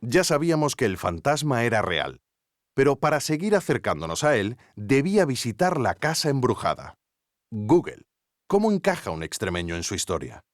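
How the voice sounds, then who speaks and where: An actor playing an earnest investigative podcast narrator with a Spanish accent from Spain, theatrical, suspenseful and tongue-in-cheek. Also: male voice, mid-range voice, very animated, moderate pace, no room sound, studio quality, lightly acted. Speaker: host. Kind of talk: scripted documentary narration.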